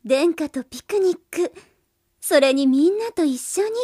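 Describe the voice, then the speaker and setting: A woman's voice: sits high, lively intonation, a little slow, dark tone, dry recording, clean studio sound. A woman playing a sweet, easily flustered teenage girl in an anime-style audio drama, with a girlish manner. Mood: delighted. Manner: girlish, hesitant then gushing.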